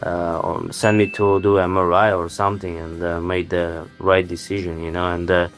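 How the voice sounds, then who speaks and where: A young man, a native Armenian speaker, answering interview questions in halting English full of fillers like "uh" and "like". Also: deep voice, flat delivery, faint music behind. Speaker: interviewed fighter.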